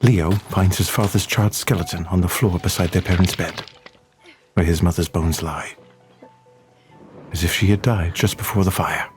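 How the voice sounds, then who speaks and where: A man narrating an audio drama in a solemn, measured, scripted storytelling manner. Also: low voice, steady tone, soft background music, lightly acted.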